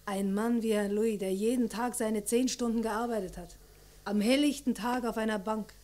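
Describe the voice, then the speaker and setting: A woman's voice: a little high, fairly even intonation, moderate pace, a little mellow, lightly acted. An actress playing a friendly, plain-spoken female witness who talks openly in an old German crime radio play. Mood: wistful. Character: friendly, forthcoming female witness, fond of an older man.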